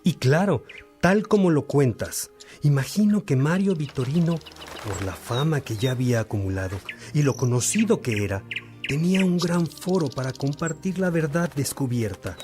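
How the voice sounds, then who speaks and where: An actor playing an earnest, searching man who respectfully learns from a priest, in an expressive, reflective radio drama style. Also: fairly high pitch, normal speed, soft background music, natural voice. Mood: moved, longing. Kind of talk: Spanish-language radio drama acting.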